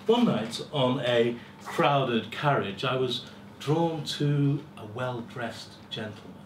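A middle-aged man giving a dramatic, deliberate first-person story reading, with pauses and swelling emphasis. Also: medium pitch, animated. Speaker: story reader at a live festival. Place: small lecture room with seated audience. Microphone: far camera. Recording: roomy, distant camera mic, faint hiss.

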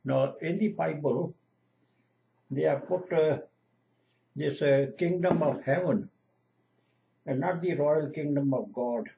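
An older man, a retired lecturer whose first language is Punjabi, slowly and earnestly expounding scripture at home. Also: fairly high pitch, vocal fry, a little hiss or hum. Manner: slow, earnest, lecturing, repeats lists of divine names. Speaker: retired lecturer giving Bible exposition. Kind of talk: home-video scripture teaching. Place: small room indoors at home. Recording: dull lo-fi webcam audio.

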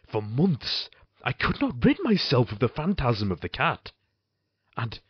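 An actor playing a brooding, cruel married man haunted by guilt, narrating a gothic audio drama in formal, theatrical phrasing with a husky voice. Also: mid-range voice, dry recording, muffled recording.

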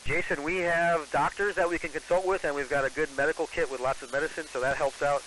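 A man's voice: fairly high pitch, steady tone, fast, fairly bright tone. A man speaking as an astronaut over a ham radio transmission, answering questions in a procedural, explanatory way. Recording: hissy, noisy ham radio reception. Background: radio hiss.

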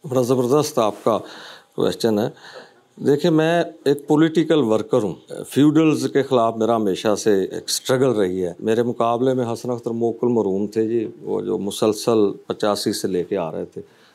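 Earnest mellow-voiced politician. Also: male voice, mid-range voice, moderate pace, gravelly. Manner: earnest, speechlike. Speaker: politician guest. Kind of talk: talk show answer. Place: TV studio.

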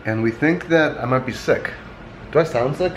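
A man's voice: mid-range voice, normal speed. A young man in his twenties, congested from a sinus infection, chatting casually and a little tired as he vlogs at home and at a resort at night. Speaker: vlogger. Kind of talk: casual vlog chat. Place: apartment at home, then outdoors at a resort at night. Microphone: handheld camera at arm's length. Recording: noisy handheld camera audio.

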